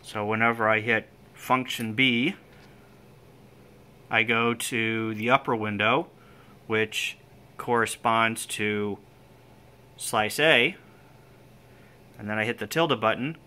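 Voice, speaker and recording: A man giving an informal hands-on equipment demonstration as a hobbyist, explaining step by step in short, halting phrases. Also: on the low side, fairly even intonation, bright, forceful tone. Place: indoor room with equipment on folding tables. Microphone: handheld camera or phone, operator behind it.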